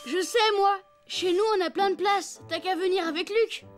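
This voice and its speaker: A woman's voice: very high-pitched, steady tone, moderate pace, faint music behind. A woman voicing a friendly, helpful young boy in a French cartoon.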